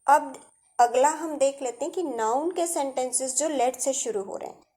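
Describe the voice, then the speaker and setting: A woman's voice: high voice, normal speed, dark tone. A woman with an Indian accent giving a step-by-step grammar lesson as a teacher, addressing her students directly.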